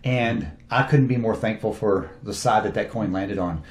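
A man's voice: fairly low pitch, moderate pace. A man, a film director talking enthusiastically about his cast in an interview. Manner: enthusiastic, animated storytelling with quoted asides. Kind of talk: casual interview talk. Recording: roomy echo.

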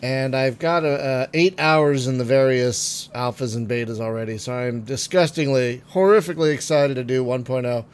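A man's voice: mid-range voice, normal speed, hardly any pauses, clean tone. Phlegmy man chatting through a game. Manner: chatty, frequent ums and uhs, trailing off quietly. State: phlegmy, getting over a cold. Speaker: gaming video host. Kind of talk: casual let's-play commentary.

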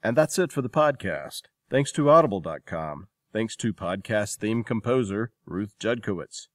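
A man's voice: lowish voice, lively intonation, moderate pace, fairly bright tone, no room sound, clean studio sound. A man presenting a podcast as its host, reading scripted credits and announcements.

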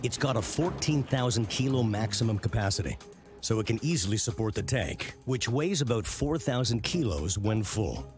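A man reading scripted narration for a documentary series in a measured, punchy way. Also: mid-range voice, animated. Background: prominent background music.

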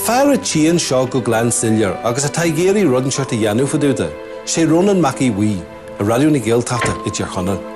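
A man from Ireland narrates a television documentary. His voice sits mid-range and moves with lively pitch. Music plays clearly under him. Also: quick pace. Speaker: presenter.

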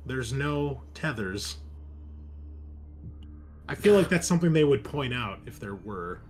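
A man with a mid-pitched voice runs a tabletop game. He talks casually and bluntly with a joking edge, over some background noise. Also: sing-song, measured pace. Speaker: game master and host.

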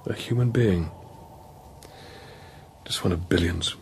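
Weary, guilt-laden priest, radio drama. Lowish male voice, hesitant and brooding, naturalistic delivery. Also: takes it slowly, vocal fry, darkish tone, a little hiss or hum, heavily acted. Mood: grave, resigned. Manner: hesitant, reflective.

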